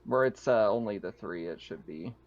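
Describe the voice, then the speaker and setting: A man's voice: lowish voice, lively intonation, moderate pace, very noisy. Guy running a tabletop game, laid back chat and narration, bit dull lo-fi sound. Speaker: game master. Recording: slightly dull, lo-fi mic.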